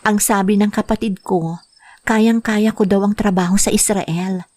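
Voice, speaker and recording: An actress playing a young wife and mother who wants to work abroad to help her family, speaking gently and earnestly in a radio drama. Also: mid-range voice, fairly even intonation, normal speed, lightly acted.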